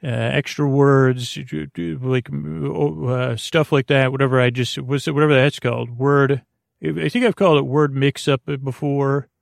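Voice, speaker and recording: A man with a creaky voice hosting a sleep podcast, speaking in a meandering, halting bedtime monologue full of soothing tangents and mild whimsy. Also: medium pitch, steady tone, no room sound, studio quality. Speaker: podcast host.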